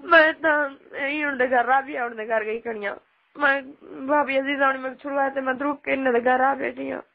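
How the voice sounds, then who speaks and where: A woman's voice: high voice, steady tone, normal speed. A young woman complaining in a casual phone conversation. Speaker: phone caller complaining to her aunt. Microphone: telephone. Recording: tinny, band-limited phone line.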